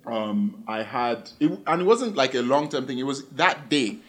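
A man's voice: medium pitch, animated, moderate pace, fairly bright tone. A man co-hosting a podcast, chatting casually and jokingly with a friend. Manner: playful, joking. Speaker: podcast co-host.

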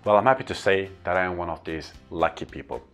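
A man with a Turkish accent speaks to camera in an earnest, upbeat way, stacking up lists for emphasis. His voice sits very low. Faint music plays quietly beneath him. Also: quick pace, dull audio. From Turkey. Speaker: corporate trainer and speaker. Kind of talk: casual talking-to-camera vlog.

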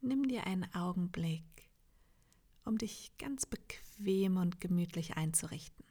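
A woman leading a guided meditation in a soft, half-whispered, soothing voice, leaving long pauses between phrases. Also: lowish voice, expressive, husky. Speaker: yoga nidra meditation guide.

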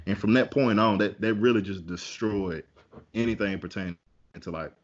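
A man's voice: on the low side, normal speed, muffled recording. A man telling a personal story in a casual podcast chat, candid and conversational. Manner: candid, conversational, with many fillers and restarts.

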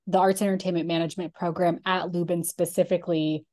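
A woman's voice: on the low side, little pitch movement, fast talker, darkish tone. A woman hosting a podcast, reading an introduction and then asking interview questions in an upbeat way. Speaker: podcast host. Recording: faint music behind.

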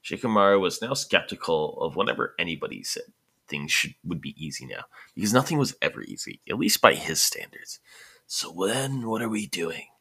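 A man's voice: sits low, very animated, a little fast, creaky, pushed and bright, slightly rough. A man narrating a story in an amateur way, sometimes stumbling and correcting himself. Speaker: narrator of a fan fiction podcast.

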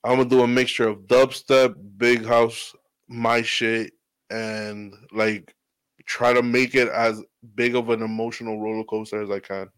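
A man's voice: mid-range voice, level tone, unhurried, fairly bright tone, smooth, no room sound, poor audio. A man talking as a podcast guest and DJ, laid-back and rambling, swearing freely in casual chat. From New York. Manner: laid-back, profane, rambling with "you know".

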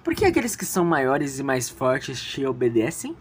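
A woman's voice: extremely low pitch, wildly swooping pitch, moderate pace, husky, full character voice. A woman with a Brazilian accent voicing a hesitant, evasive teenage schoolboy in an audio drama. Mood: curious, polite.

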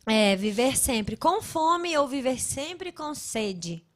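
A Brazilian woman hosting a casual podcast, laughing loudly and swearing freely while asking questions. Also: high-pitched, expressive, slow. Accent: Brazilian Portuguese. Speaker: podcast host.

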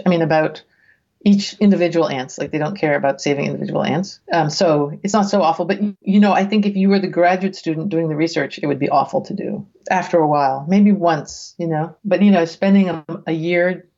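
A woman reading research aloud as a guest, with casual, bemused asides, thinking out loud in a conversational way. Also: low-pitched, dull audio. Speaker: guest reader reacting to research papers.

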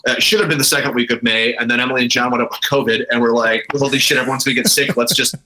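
A man's voice: mid-range voice, fairly even intonation, racing, sharp tone, slight background noise, low-quality recording. A man with an American accent chatting casually as an interview guest, rambling and repeating phrases, with some casual swearing. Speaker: brewery owner, podcast guest.

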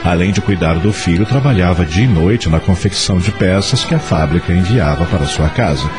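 A man with a fairly low voice narrates a radio soap as a storyteller. His delivery is lively and expressive, with soft music underneath. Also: fairly quick. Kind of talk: scripted radio drama narration.

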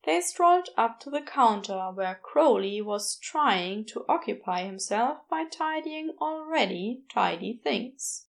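A woman's voice: on the high side, animated, slow, creaky, few pauses. A woman reading a work of fiction aloud as a scripted narrator. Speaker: podfic narrator.

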